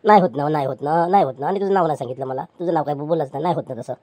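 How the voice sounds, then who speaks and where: A woman's voice: low voice, very fast, a little mellow, no echo. A woman voicing a poor, meek male chair seller who pleads and placates with stammering restarts. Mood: placating.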